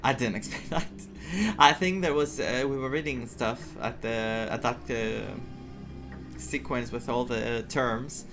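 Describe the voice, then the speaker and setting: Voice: mid-range voice, unhurried. A game streamer giving casual playthrough commentary, thinking aloud and reacting to the story. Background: game music.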